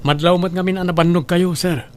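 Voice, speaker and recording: A man's voice: high-pitched, steady tone, fairly quick, a little mellow, natural voice. A man with an Ilocano accent playing a caring, hardworking young driver in an audio drama. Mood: respectful. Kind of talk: Ilocano radio-style drama acting.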